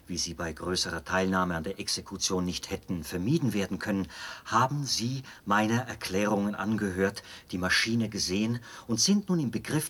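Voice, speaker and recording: An actor playing a fervent, fanatically devoted officer in a German radio drama, speaking with insistent, theatrical persuasion and a husky voice. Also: male voice, lowish voice, fairly bright tone. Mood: urgent, confiding.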